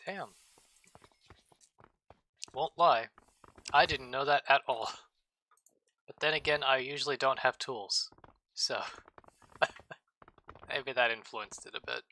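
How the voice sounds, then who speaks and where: A man's voice: mid-range voice, takes it slowly, long pauses, pushed and bright, rough recording. A man in casual gaming chat, joking and rambling with playful, absurd asides. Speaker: streamer co-host.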